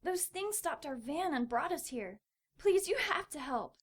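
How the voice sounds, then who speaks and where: Young captive churchgoer female actor, high pitch, fearful and pleading, horror audio drama. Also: sing-song, a little slow. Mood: frightened, pleading. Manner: scared, hesitant, pleading.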